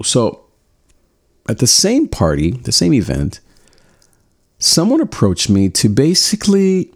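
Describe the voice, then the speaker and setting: Man, low voice, casual advice talk, reflective, self-correcting. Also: darkish tone. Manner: reflective, self-correcting, acts out quoted lines. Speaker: speaker giving social skills advice. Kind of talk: casual spoken lesson with personal anecdote.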